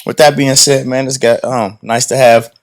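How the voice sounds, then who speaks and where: A man hosting a podcast, introducing a guest slowly and joking loosely with his co-hosts. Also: mid-range voice, level tone. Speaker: podcast host. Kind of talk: casual podcast chat.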